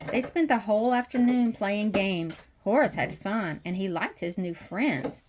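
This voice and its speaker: A woman reading a children's picture book aloud as a storytime host, with expressive storytelling. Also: mid-range voice, measured pace. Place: messy playroom at home. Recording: noisy home room with clicks.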